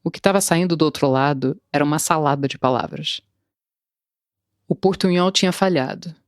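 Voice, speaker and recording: A woman's voice: low voice, moderate pace, no echo, clean studio sound. Wry woman narrating a personal story. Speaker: translator and podcast narrator. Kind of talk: scripted first-person narration.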